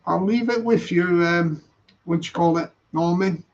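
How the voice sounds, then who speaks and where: A man with a high, gravelly voice chats loosely on a live stream, circling back over the same points and reading out what viewers type. The sound is dull and lo-fi, like low-grade stream audio. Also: a little slow. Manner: rambling, repetitive, reads viewer comments aloud. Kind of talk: casual live stream chat.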